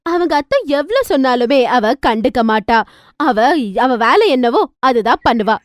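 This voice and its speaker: A woman narrates a scripted cartoon moral story. Her voice is very high-pitched, and the recording is close and dry. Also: very animated, normal speed, dry recording.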